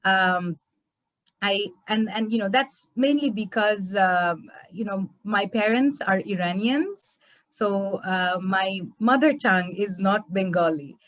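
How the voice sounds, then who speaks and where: A young woman from Bangladesh, a translator, chatting on a video call, warm and chatty with many fillers and restarts. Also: mid-range voice, slow, dry recording. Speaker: award-winning translator. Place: small indoor room. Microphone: laptop webcam on video call.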